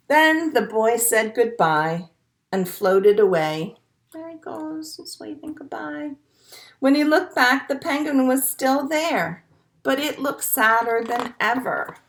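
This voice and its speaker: Slow, lilting woman's storytime voice. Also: high-pitched. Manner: expressive, encouraging, simple phrasing for small children. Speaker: children's storytime librarian and host. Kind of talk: reading a picture book aloud to young children, with songs and asides.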